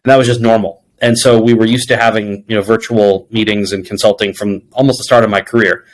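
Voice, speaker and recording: A man's voice: fairly low pitch, fairly even intonation, fast, rough recording. Man telling reflective workplace anecdotes. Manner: reflective storytelling, quoting dialogue. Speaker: interview guest, people executive. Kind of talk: conversational interview answers with anecdotes.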